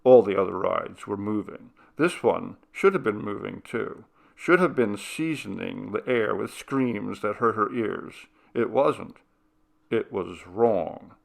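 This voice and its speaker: A man's voice: on the low side, expressive, slow pace, vocal fry. A man narrating a ghost story as a scripted, dramatic audiobook reading.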